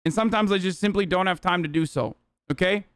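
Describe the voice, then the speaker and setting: A man's voice: high-pitched, fast, fairly bright tone. A man streaming political commentary, reacting to clips bluntly and profanely with many fillers.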